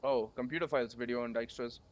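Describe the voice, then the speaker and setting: A man's voice: medium pitch, fairly even intonation, quick pace, muffled recording. A young man with a sore throat from a cold, chatting casually and rambling while coding on a livestream. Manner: rambling, chatty, many fillers.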